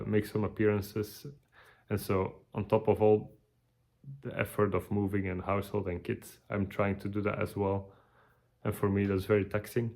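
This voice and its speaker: A tired man, giving a halting, casual video update with many restarts. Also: lowish voice, gravelly, a little mellow. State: tired, burned out. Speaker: vlogger.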